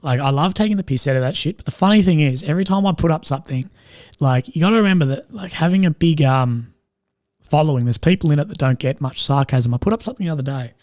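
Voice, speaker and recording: A young man with a strained, slightly croaky voice, rambling and swearing as he chats with viewers on a live stream. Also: fairly high pitch, animated, fast, a little mellow, no room sound, studio quality. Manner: rambling, swears often, laughs at own jokes. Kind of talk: casual live stream chat.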